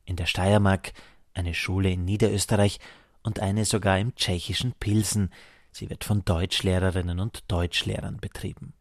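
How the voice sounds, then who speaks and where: Male radio narrator, deep register, measured scripted read, very dry recording. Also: level tone, no echo.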